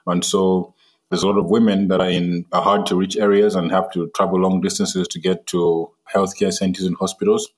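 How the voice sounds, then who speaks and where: A man from Malawi who co-founded a drone startup, explaining his work steadily with an occasional "uh". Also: low voice. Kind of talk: casual interview talk.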